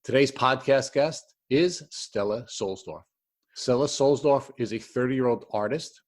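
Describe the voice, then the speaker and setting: A man hosting an interview podcast, reading prepared introductions and putting questions to a guest. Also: medium pitch.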